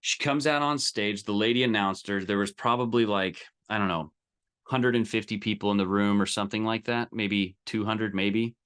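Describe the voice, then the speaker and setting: A man's voice: lowish voice, fairly even intonation, moderate pace, fairly bright tone, clean tone. A man giving an informal, emphatic live coaching talk, direct and colloquial, often checking in with listeners. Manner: colloquial, salesy. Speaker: business coach leading a group training call.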